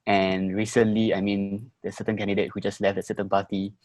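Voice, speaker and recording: A man's voice: lowish voice, little pitch movement, fast talker, darkish tone, dry recording. A man of twenty-four with a Singaporean accent, talking conversationally as a member of a discussion panel. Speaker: first-time voter on a discussion panel.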